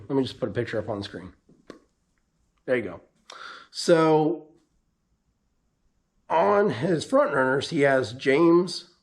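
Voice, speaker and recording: Hoarse man, racer-vlogger, loose rambling delivery with plenty of ums. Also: medium pitch. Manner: rambling, with frequent ums and restarts. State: losing his voice, hoarse from allergies. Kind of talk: casual vlog update.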